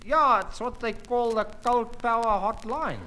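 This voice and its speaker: A man's voice: extremely high pitch, measured pace, heavy background noise. A man playing a dim-witted police constable with a South African accent in a radio comedy. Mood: dopey.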